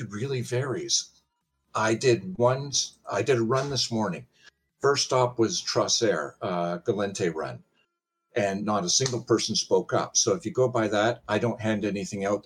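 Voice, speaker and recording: An older man with a deep, somewhat husky voice talks casually as a guest on a show. He rambles from point to point, poking fun at himself with dry humor. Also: moderate pace. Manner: rambling, self-deprecating, wry humor. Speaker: pitching guest on a game panel show. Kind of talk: casual chat.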